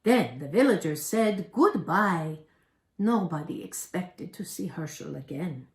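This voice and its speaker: An older woman in her sixties reads a tale aloud, unhurried and animated. Her storytelling is dramatic, recorded in an echoey room. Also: on the low side. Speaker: storybook reader. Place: indoors, home dining room. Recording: roomy home recording.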